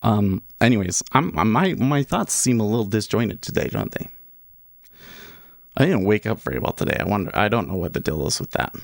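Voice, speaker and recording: A man's voice: lowish voice, animated, a little fast, creaky, no room sound, clean studio sound. A man in his thirties hosting a day-trading livestream, chatting casually and rambling through restarts and asides. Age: 30s. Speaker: livestream host, day trader.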